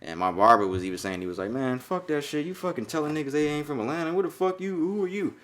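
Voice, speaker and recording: A man's voice: a little high, animated, quick pace, fairly bright tone. A young adult man from Jamaica, raised in New York, co-hosting a podcast in loose, profane casual chat. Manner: loose and profane, riffing on a viral song. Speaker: podcast co-host.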